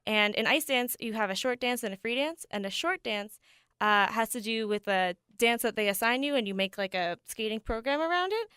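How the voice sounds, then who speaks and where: A woman's voice: fairly high pitch, expressive, a little fast, bright, forceful tone, clear, resonant tone, no room sound. A young woman, an ice skater raised bilingual in Denmark and the States, answering radio interview questions in an upbeat, eager way with frequent laughs. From United States and Denmark. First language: bilingual English and Danish. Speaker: ensemble ice skater, guest.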